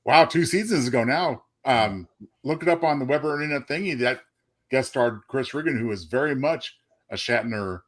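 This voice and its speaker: A man's voice: medium pitch, moderate pace, fairly bright tone. A man co-hosting a live web show in a casual, conversational style. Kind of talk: casual chat.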